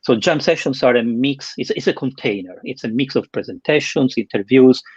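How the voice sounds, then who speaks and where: A man's voice: medium pitch, a little fast, slightly rough, no room sound, lo-fi sound. A man hosting an online interview webinar, introducing and questioning his guest.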